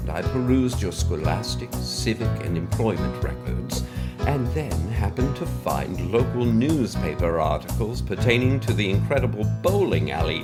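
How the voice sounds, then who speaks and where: A man playing a mysterious wealthy benefactor in an audio drama, with a pompous, florid, formal manner and theatrical pauses. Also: on the low side. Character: mysterious wealthy male benefactor, pompous, grandiose, slyly menacing. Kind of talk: scripted audio drama monologue.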